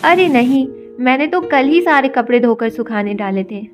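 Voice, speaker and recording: An actress voicing a patient, hurt young wife in an animated story, talking emotionally and pleadingly. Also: female voice, high voice, normal speed. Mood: weary. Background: background music.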